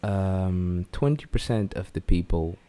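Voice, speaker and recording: A man from Suriname who grew up in the Netherlands, talking casually as a podcast guest, haltingly and repeating words as he thinks. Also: low voice, dark tone. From born in Suriname, grew up in the Netherlands. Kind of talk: casual conversational interview.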